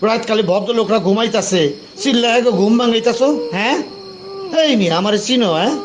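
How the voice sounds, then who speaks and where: A man's voice: very high-pitched, fast, a little hiss or hum. A man playing a bossy, self-important building watchman in an audio drama, talking in colloquial Dhaka Bangladeshi speech. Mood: bossy, scolding. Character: bossy building night watchman, blustering at underlings, deferential to superiors.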